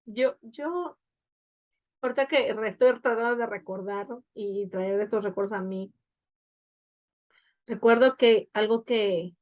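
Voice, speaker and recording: A woman's voice: on the high side, unhurried, long pauses, a little mellow. A young woman, co-hosting a podcast and reflecting on her past in casual talk, often with "o sea". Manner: reflective, many fillers like "o sea". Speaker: podcast co-host.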